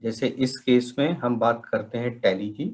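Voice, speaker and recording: A man's voice: mid-range voice, fairly even intonation, unhurried, darkish tone. A man narrating a tutorial as a trainer, explaining methodically step by step. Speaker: software trainer presenting a tutorial. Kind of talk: instructional slide narration.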